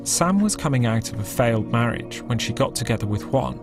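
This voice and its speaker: A man narrating a news documentary in a measured, scripted way. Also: mid-range voice, expressive. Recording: voice-over with clear music underneath.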